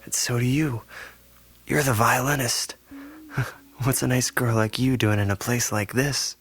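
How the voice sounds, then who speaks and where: A battered young captive in an audio drama. He speaks slowly in a rough, forced voice, still wry and teasing. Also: mid-range voice, steady tone, a bit bright. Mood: wry, weakened. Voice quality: husky, strained.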